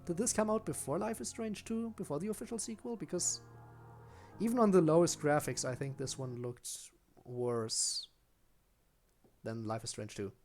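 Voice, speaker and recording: A man's voice: on the high side, moderate pace, a little mellow, soft background music. Rambling German-accented male game streamer. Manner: rambling, with frequent restarts while answering chat. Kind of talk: casual live-stream chat while gaming.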